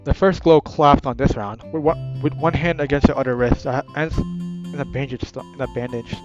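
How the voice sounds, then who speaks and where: Brief: casual gamer man, mid-pitch, chatty and self-correcting, dull recording with guitar music. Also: fairly even intonation, normal speed, darkish tone. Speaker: gaming video creator. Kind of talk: casual game playthrough reading aloud.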